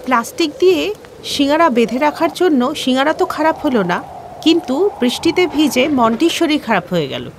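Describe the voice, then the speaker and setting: A woman's voice: high voice, big pitch swings, fairly quick, background noise. A woman narrating a scripted children's story as the storyteller.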